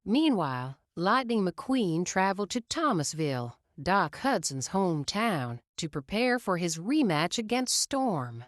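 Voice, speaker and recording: A woman reads a children's picture book aloud, slow and expressive. Her voice sits on the low side but moves a lot in pitch. It sounds close and dry. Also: dry recording. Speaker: storyteller.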